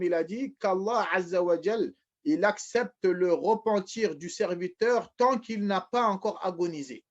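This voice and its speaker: A man giving a religious lecture, repeating phrases, often restarting, and addressing his listeners as brothers and sisters. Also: sits high. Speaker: preacher. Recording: dull lo-fi recording.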